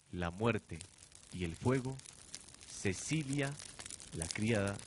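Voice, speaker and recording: A man reading dramatic scripted narration for a radio novel. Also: mid-range voice. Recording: clear background noise, amateur student production.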